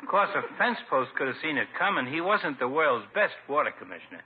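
A man's voice: fairly high pitch, sing-song, fast talker, breathy edge, full character voice. An actor playing a brash, blunt small-town working man in a 1950s radio comedy, talking in folksy slang. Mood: wry. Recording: dull, noisy 1950s radio recording.

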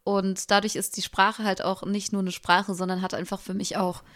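Young woman, podcast co-host, flat steady tone, reflective, searches for words. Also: mid-range voice, moderate pace. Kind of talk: casual conversation.

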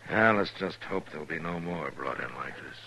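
An American actor playing a stern, watchful, lonely frontier marshal in a 1950s radio western, speaking tersely and with measure. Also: male voice, rumbling low, expressive, normal speed, creaky, very noisy, full character voice. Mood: somber. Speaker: lead actor. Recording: old radio drama recording.

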